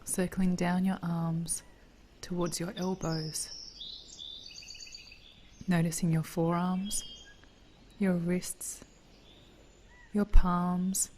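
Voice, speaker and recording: Female meditation teacher: dark, soft tone, very slow gentle pacing, near-whispered phrase endings, light birdsong ambience. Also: on the low side, level tone, a little hiss or hum. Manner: soothing, gentle, breathy delivery drifting toward a whisper. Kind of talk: guided body scan meditation.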